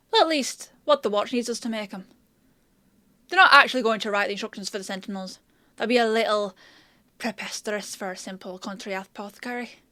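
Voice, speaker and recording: A woman's voice: fairly high pitch, pushed and bright, dry recording. A woman playing a chatty, confident country apothecary in a fantasy audio roleplay, explaining and teasing as she talks to the listener. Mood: puzzled, reassuring. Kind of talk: one-sided roleplay acting addressed to the listener.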